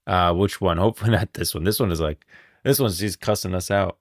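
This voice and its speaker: A male livestream host with a deep voice in a dry, close sound. He comments in an informal, chatty way and often thinks out loud as he talks to his viewers. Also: a little fast, no echo. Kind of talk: casual livestream commentary and chat.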